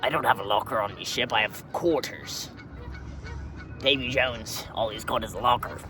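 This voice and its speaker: A man's voice: medium pitch, moderate pace, noisy background, lightly acted. A man voicing a crude, swaggering, unwashed pirate in a comic monologue, rambling offhand and calling everyone "matey" in pirate slang.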